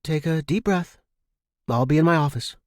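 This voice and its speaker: A concerned female boss in an audio story, played by a male narrator. She questions and placates in a lifted pitch, probing but soothing, with a dry close sound. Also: normal speed, darkish tone, no echo, lightly acted. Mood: concerned, placating. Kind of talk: audio fiction character dialogue.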